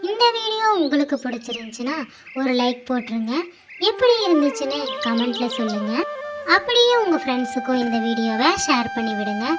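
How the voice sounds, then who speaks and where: A woman's voice: a little high, big pitch swings, fairly quick. A woman storyteller narrating a scripted moral tale for children and hosting the channel. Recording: music under the voice.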